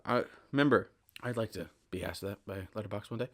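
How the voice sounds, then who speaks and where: A young man with a fairly low voice talks casually as a solo podcast host. He rambles and interrupts himself, repeating words as he goes. His delivery is animated, moving between emphatic bursts and quieter asides. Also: a little fast. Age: 20s.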